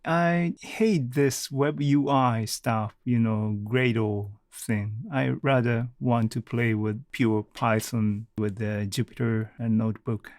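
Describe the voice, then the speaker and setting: Slow-talking man, halting English. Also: medium pitch, fairly even intonation, dry recording, clean studio sound. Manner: halting, non-native English phrasing. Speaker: podcast host. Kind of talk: casual solo tech talk.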